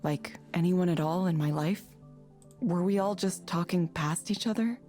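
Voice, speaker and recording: A woman's voice: lowish voice, normal speed, mellow, close to an everyday voice. An actress playing a withdrawn, self-doubting woman in a visual novel game, introspective and hesitant in a subdued, apologetic way. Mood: troubled, self-doubting. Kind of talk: video game voice acting. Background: faint music.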